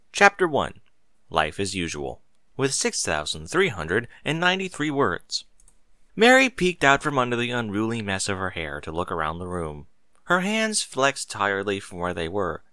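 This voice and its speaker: A man reading a story aloud as narrator, in expressive scripted narration. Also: on the low side, no echo, clean studio sound.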